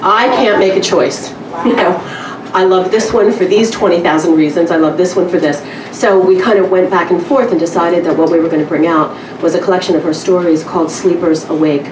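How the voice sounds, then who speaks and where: A woman with a lowish voice speaks at a literary event in a candid, conversational manner. She is picked up from a distance in an echoing room. Her delivery is wry and informal, like a live reading among acquaintances. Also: brisk, hardly any pauses. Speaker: publisher introducing and reading a story at a literary event. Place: indoor live event room with small audience. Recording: roomy, distant mic with clear background noise.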